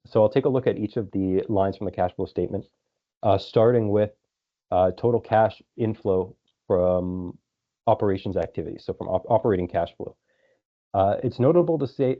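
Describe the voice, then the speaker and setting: A man presenting financial results as a company executive on an earnings call, in a businesslike, explanatory way. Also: low voice. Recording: dull lo-fi conference call audio.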